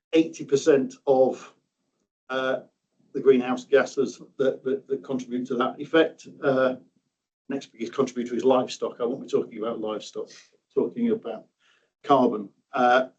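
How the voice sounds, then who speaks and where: A man's voice: medium pitch, a little mellow, far from the mic, highs cut off. A middle-aged man from South Yorkshire giving a conference talk on railway projects, hesitant and self-deprecating, often repeating words. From South Yorkshire, England. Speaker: railway project engineer, presenter. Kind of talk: conference presentation. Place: meeting room.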